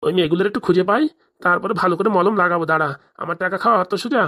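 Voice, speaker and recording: A woman voices a money-hungry village father from a comic cartoon, rattling along at breakneck speed in a lowish voice. He blusters and insists in colloquial, speech, always impatient and scheming. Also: racing, fairly bright tone. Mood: determined, blustering.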